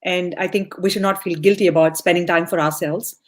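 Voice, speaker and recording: A woman's voice: on the low side, fairly even intonation, brisk. A young woman hosting a podcast over a video call, upbeat and chatty, with many "uh" fillers. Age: young adult. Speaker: podcast host. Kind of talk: casual podcast interview.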